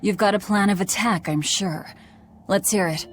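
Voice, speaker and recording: A woman's voice: mid-range voice, normal speed, soft background music, lightly acted. An actress playing a stern, hardened young demon woman in a video game, speaking in a blunt, cold, dismissive way. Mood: curt, determined. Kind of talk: English video game voice acting.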